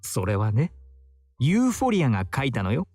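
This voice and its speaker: A man's voice: mid-range voice, huge pitch swings, fast, darkish tone, husky, dry recording, soft background music. An actor playing a teasing, effeminate, sociable male bar worker with breezy feminine phrasing in a Japanese visual novel.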